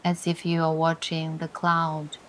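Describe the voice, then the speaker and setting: A woman leading a scripted guided meditation in a soothing, deliberate way, pausing between short phrases. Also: deep voice, completely flat delivery, slight background noise. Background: music.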